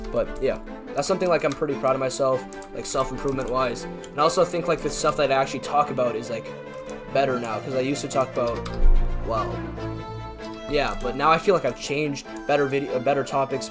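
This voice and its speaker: A young man from Canada chatting casually over gameplay, rambling with lots of fillers and self-deprecating asides. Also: medium pitch. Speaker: YouTuber. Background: background music.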